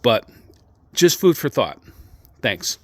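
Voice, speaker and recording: A man's voice: mid-range voice, sing-song, a bit bright, no room sound. Man speaking emphatically in a dry room. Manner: emphatic, deliberate, with pointed pauses and repetition. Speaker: host of a radio hobby channel. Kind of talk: informal opinion monologue to viewers.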